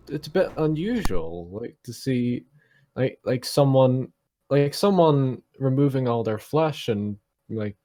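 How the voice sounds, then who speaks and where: A man's voice: medium pitch, dark tone. A man chatting casually as a tabletop game player, hesitant and stammering, often repeating himself.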